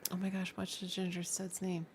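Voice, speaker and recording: A woman's voice: on the low side, monotone, brisk. A woman co-hosting a live stream in casual, conversational chat with her partner and viewers. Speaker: co-host of a fan live stream.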